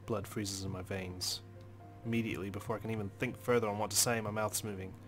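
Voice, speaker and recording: Low-pitched man narrating a visual novel. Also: fairly even intonation, quick pace, soft background music. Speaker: let's play host. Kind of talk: dramatic first-person narration of a visual novel.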